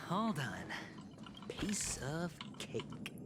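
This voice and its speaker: An actor voicing a cocky, suave gambler in a fantasy video game, teasing and theatrical. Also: male voice, fairly high pitch, very animated, drawn-out words, noisy background, exaggerated voice. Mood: self-satisfied. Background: game music.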